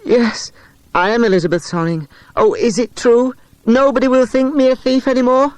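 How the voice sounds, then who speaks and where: A man's voice: very high-pitched, fairly even intonation, normal speed, a little mellow, no echo, pitched above the performer's own voice. A man voicing a plucky, guarded runaway girl disguised as a stable boy in a children's audiobook. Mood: tearful relief.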